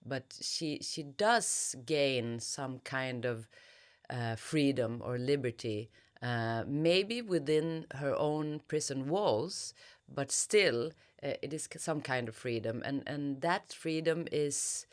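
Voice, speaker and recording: Woman author being interviewed, talks slowly, pauses a lot hunting for words. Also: a bass voice, lively intonation. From Sweden. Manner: halting, thoughtful, searching for words. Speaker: interviewed novelist. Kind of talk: reflective literary interview.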